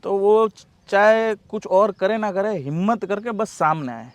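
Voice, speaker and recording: Man, high-pitched, earnest, agreeable, casual advice, repetitive emphasis, dry sound. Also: lively intonation, unhurried, no room sound. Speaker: interview guest.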